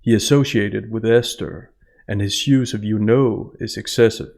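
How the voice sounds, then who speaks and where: A man giving scripted analytical narration in a measured, formal manner over instrumental music. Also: lowish voice, fairly even intonation, gravelly, a little mellow. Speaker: host analyst of statements.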